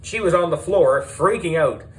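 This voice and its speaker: A man narrating a children's audiobook in an excitable, playful storytelling manner. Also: fairly high pitch, normal speed, rough recording.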